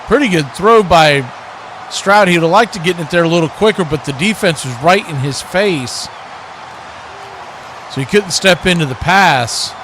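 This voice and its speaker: High-pitched American man calling football. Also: lively intonation, moderate pace, fairly bright tone, a little hiss or hum. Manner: folksy exclamations. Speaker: play-by-play sports commentator on a radio watch party. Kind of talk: live American football play-by-play commentary.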